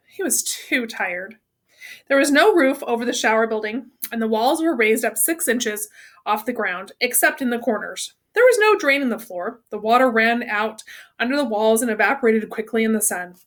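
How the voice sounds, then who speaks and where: High-pitched woman reading a story aloud. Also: animated, normal speed, a bit bright. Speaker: read-aloud reader. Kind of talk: children's novel read-aloud.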